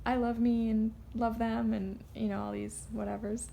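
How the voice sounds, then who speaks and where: Rambling woman with a creaky voice. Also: a little high, little pitch movement, mellow, slight background noise. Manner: rambling, halting, goes off on tangents. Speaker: tarot card reader. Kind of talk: casual, unscripted intuitive card reading.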